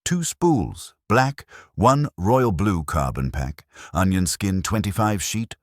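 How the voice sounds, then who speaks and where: A man playing a stiff, terse baritone store clerk in an audiobook. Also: sing-song, slow, no echo, clean studio sound, exaggerated voice. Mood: stiff, factual.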